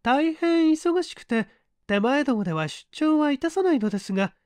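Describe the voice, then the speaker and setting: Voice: high-pitched, animated, moderate pace, darkish tone, dry recording, clean studio sound, voice pushed up. A narrator voicing a haughty middle-aged woman dressmaker who speaks in an affected, fussy, polite way. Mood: huffy, haughty.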